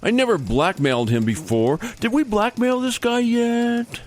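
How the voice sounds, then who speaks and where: An actor playing a pompous, corrupt senator in a radio comedy, with a blustering, indignant manner. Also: male voice, very high-pitched, expressive, a little slow. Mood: irritated. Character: pompous, corrupt, self-satisfied male senator candidate. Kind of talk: comedy radio theater acting.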